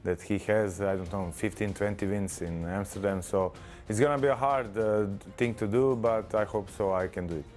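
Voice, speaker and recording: A man's voice: on the low side, fairly even intonation, a little mellow, soft background music. A man in his thirties with a Croatian accent, a kickboxer speaking modestly and hesitantly in a pre-fight studio interview. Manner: measured, modest, hesitant with fillers. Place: studio with branded backdrop. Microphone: lapel.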